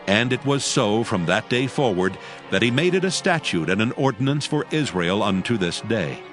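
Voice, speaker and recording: Male narrator, lowish voice, solemn measured scripture reading, music bed clearly behind. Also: very animated, a bit bright.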